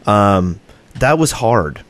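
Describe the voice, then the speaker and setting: A man's voice: fairly low pitch, big pitch swings, slow pace, fairly bright tone, no echo. A man co-hosting a gaming podcast, talking casually with the others. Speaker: podcast co-host.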